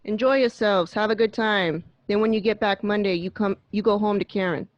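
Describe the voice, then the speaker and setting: A man's voice: high-pitched, fairly even intonation, a little fast, natural voice. A man playing a controlled older mob boss giving firm orders in a radio play, on a dull, dry call.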